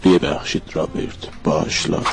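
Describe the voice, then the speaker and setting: A man voicing a blunt, odd male companion who mumbles nonsense in a very deep, gravelly half-whisper, in a comedy dub of an animated sketch. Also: soft background music, lightly acted. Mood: deadpan.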